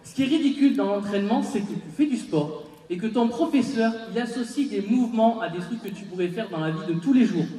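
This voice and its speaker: A man with a fairly high voice, laughing through a comedy bit as he mimes the actions. He is far from the mic on a reverberant open-air stage. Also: a little fast, mellow. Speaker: stand-up comedian.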